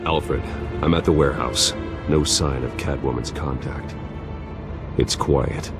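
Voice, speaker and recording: Masked vigilante detective, rock-bottom pitch, creaky gravel, terse, grim, video game drama, loud score behind. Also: male voice, fairly even intonation, unhurried, loud music behind the voice. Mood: grim, focused. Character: brooding adult male masked vigilante detective, terse and commanding.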